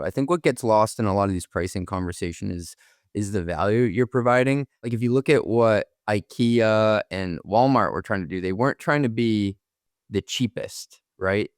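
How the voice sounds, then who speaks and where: Low-voiced man in casual podcast talk. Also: clear, resonant tone, no echo, studio quality. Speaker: podcast co-host.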